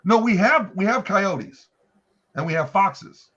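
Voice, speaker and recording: A middle-aged man with a high, very lively voice chats in a folksy, emphatic way. He sounds like a casual guest on a video call, with slightly dull lo-fi audio. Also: slow pace, husky. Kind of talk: casual chat.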